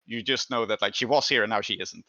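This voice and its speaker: A man with a bright, forward voice narrates a tabletop roleplaying game as its game master. He weighs his rulings thoughtfully as he talks. The recording is a little dull and lo-fi. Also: on the low side, fast, no echo.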